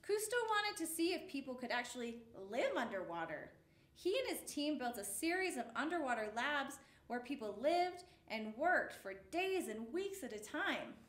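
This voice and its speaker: A woman with a high voice reads a children's story aloud in a roomy, echoey space. Her phrasing is measured and expressive, shaped for young listeners at storytime. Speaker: storyteller reading a picture book aloud.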